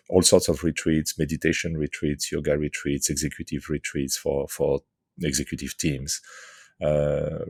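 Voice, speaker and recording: A middle-aged Frenchman with a very deep, gravelly voice speaks with a French accent. He answers slowly and thoughtfully, with lots of hesitations and restarts. The sound is dry and close. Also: darkish tone, dry recording. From France. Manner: hesitant, many fillers and restarts. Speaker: guest, author and leadership coach.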